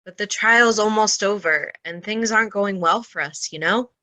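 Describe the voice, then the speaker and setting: Woman playing a bright-voiced, earnest lady lawyer. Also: medium pitch, normal speed, close to an everyday voice. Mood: earnest pleading. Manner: earnest, imploring. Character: kind, earnest female defense lawyer, urgently pleading. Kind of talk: old-time radio drama acting.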